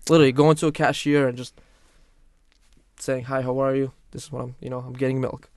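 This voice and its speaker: A man's voice: mid-range voice, little pitch movement, a little fast, darkish tone, dry recording, clean studio sound. A young man telling his story in a casual podcast chat, earnest and full of restarts. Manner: earnest, many restarts and "literally". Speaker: guest.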